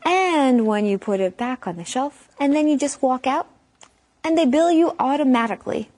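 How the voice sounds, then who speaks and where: A woman's voice: sits high, expressive, normal speed, darkish tone. A woman co-hosting an English lesson, friendly and chatty, asking questions. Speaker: co-host. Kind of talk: casual chat in an English lesson.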